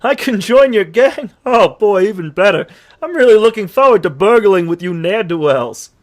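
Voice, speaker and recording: A man's voice: sits high, animated, normal speed. A man voicing an eager talking horse who fancies himself a crook, with theatrical, folksy storytelling in a comic fandub. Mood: eager, boastful. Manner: theatrical, folksy old-fashioned turns of phrase. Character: self-pitying talking horse, eager cheerful rogue wannabe. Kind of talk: comic comic-book fandub reading with storytelling flourishes.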